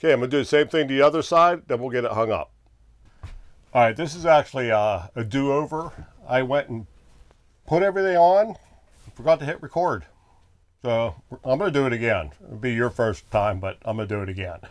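A man's voice: mid-range voice, expressive, a little fast. Plainspoken man fixing a door. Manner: plainspoken, thinks aloud while working with his hands. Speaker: RV owner showing a repair. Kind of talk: casual how-to narration. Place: small bedroom in an RV.

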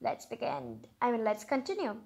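Female read-aloud host, very high and lively, informal storybook reading with chatty commentary. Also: moderate pace, creaky.

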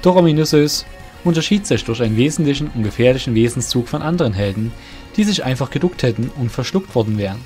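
Male fantasy storyteller over soft music. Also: mid-range voice, animated, quick pace. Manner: literary storytelling. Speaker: narrator. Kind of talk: scripted fantasy audio drama narration.

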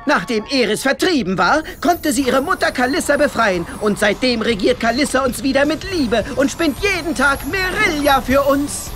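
A woman's voice: high voice, lively intonation, normal speed, hardly any pauses, background noise. A woman playing a bubbly, gushing mermaid morning-show presenter in a children's audio drama. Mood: bright, gushing.

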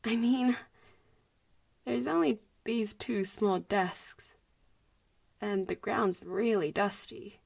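Breathy, teasing vampire schoolgirl, acted by a woman. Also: mid-range voice, slow, pauses often, darkish tone, no echo, full character voice. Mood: casual, protective. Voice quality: breathy, often dropping to a whisper. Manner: playful, giggly, intimate. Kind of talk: audio roleplay voice acting, addressing the listener.

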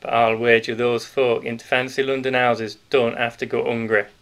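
A man's voice: medium pitch, flat delivery, normal speed, a bit bright, lightly acted. A man voicing a stout, sly, cheerful male wartime grocer with a Yorkshire accent, in a knowing, conspiratorial manner. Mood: jovial.

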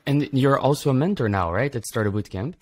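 A man's voice: medium pitch, expressive, fairly quick, a little mellow. He is a young adult man with a mid-range voice. He speaks casually as a podcast host, putting questions to a guest from a desk microphone. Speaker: podcast host, program director. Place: small home office indoors, on a video call. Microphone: desk microphone with pop filter.

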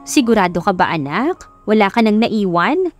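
A woman's voice: medium pitch, sing-song, normal speed, close to an everyday voice. A woman voicing a tender, solicitous middle-aged mother in an audiobook love story. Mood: caring. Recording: music under the voice.

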